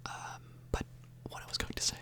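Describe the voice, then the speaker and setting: A woman speaking in a breathy, unvoiced whisper close to the microphone, rambling informally and a little hesitantly in an ASMR style. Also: brisk. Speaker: ASMR video host.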